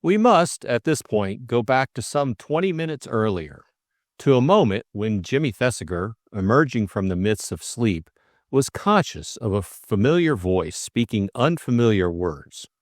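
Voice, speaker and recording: A man's voice: mid-range voice, sing-song, measured pace, no room sound, clean studio sound. A man reading scripted story narration as an audiobook narrator.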